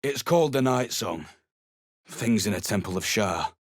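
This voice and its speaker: A middle-aged man voicing a surly, weary male mercenary adventurer in a video game, talking in a gruff, blunt and sarcastic way. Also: lowish voice, expressive, moderate pace, no room sound, clean studio sound. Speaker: game character.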